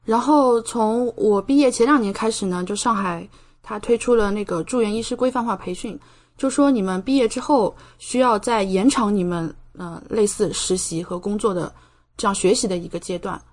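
A woman's voice: mid-range voice, moderate pace, darkish tone. A young woman from China, a podcast guest, explaining things in a conversational interview style. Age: young adult.

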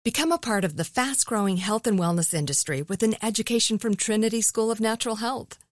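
A woman giving a scripted ad read, smooth and even-paced. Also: medium pitch, fairly quick, no room sound, clean studio sound. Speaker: ad reader.

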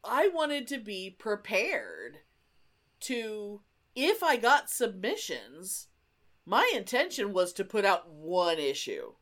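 A woman's voice: medium pitch, slow. A woman co-hosting a casual podcast chat, telling stories in an emphatic, sweary way. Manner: animated, emphatic, sweary storyteller. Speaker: podcast co-host.

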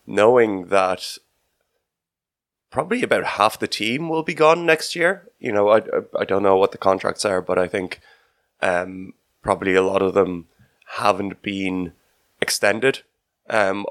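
A man giving casual sports analysis on a podcast, often halting as he thinks aloud. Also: deep voice, fairly even intonation, fairly bright tone, no room sound, studio quality. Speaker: podcast host.

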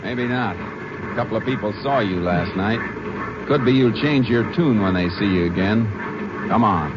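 An actor narrating and playing a steady, commanding Texas Ranger in a 1950s radio drama. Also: male voice, lowish voice, normal speed, very noisy, natural voice. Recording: muffled old radio broadcast with music.

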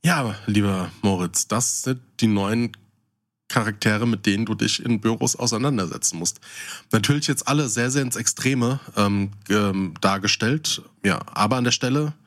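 A man's voice: lowish voice, moderate pace, a bit bright. A man co-hosting a podcast, switching between casual chat and reading out short descriptions. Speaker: podcast co-host.

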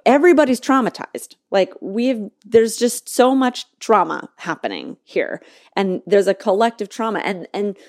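A woman hosting a podcast, talking in a reflective monologue that halts and restarts often and slows to stretch out key words. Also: medium pitch, expressive, a little mellow, no echo, clean studio sound. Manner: halting, searching, many restarts and fillers; slow, drawn-out emphasis on key words. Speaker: podcast host.

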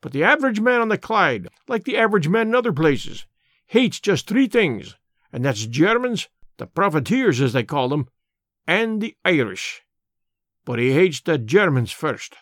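A man's voice: fairly high pitch, sing-song, normal speed, a bit bright, no room sound, clean studio sound. A man reading an audiobook, voicing an older Scottish labour radical, blunt and opinionated, in broad dialect with a Scottish accent. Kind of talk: audiobook character voice.

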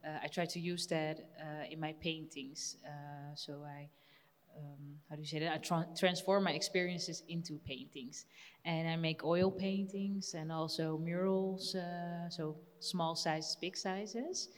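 Dutch-accented woman, lowish voice, hesitant, hunting for english words, hall echo. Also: steady tone, a little slow. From Netherlands. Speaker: panel guest, painter. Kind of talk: panel talk. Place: live event hall with audience. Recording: reverberant hall.

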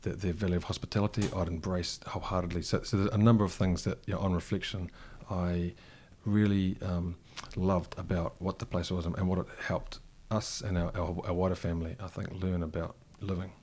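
A man's voice: low voice, level tone, fairly quick, few pauses, darkish tone, a little hiss or hum. A middle-aged man with a New Zealand accent, thoughtfully recalling his past as an interview guest, often restarting his sentences. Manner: thoughtful, frequent restarts and fillers. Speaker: interview guest, cafe co-founder. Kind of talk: reflective conversational interview.

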